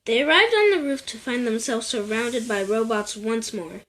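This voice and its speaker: A woman's voice: a little high, normal speed, a bit bright, a little hiss or hum, poor audio. A woman guest reader narrating a children's superhero storybook.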